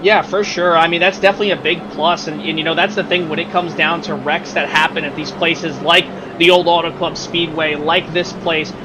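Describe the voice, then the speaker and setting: A man's voice: fairly high pitch, steady tone, a little fast, few pauses, lo-fi sound. A man giving casual color commentary on an online race, explaining strategy with lots of fillers. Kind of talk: sim racing broadcast commentary. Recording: noisy track sound behind.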